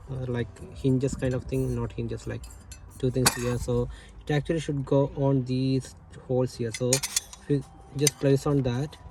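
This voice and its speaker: A man giving a casual hands-on installation tutorial, explaining haltingly and correcting himself as he goes. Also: mid-range voice, background noise. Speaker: DIY installation presenter.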